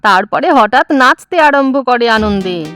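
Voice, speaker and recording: উঁচু গলার নারী গল্পকথক. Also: female voice, fairly high pitch, lively intonation, measured pace, a bit bright. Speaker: storyteller. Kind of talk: scripted children's horror story narration. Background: faint music.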